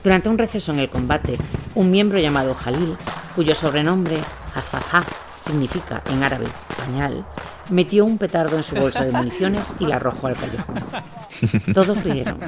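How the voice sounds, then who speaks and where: A man's voice: fairly high pitch, lively intonation, normal speed. A man reading scripted first-person war narration as a storyteller. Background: noisy ambient sound.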